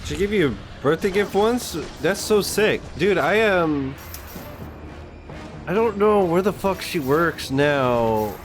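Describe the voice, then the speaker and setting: A man's voice: a little high, animated, takes it slowly. A man hosting a reaction stream, chatting casually in a slangy, sweary, rambling way. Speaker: reaction video host. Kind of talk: casual reaction chat with viewers. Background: music from the game.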